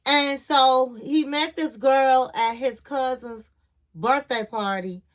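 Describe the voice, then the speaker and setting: A woman's voice: sits high, takes it slowly. A woman casually recapping a reality show, telling it like a story, acting out the quotes and calling her viewers queens. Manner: animated storyteller, acts out quotes, says "honey" and "my queens".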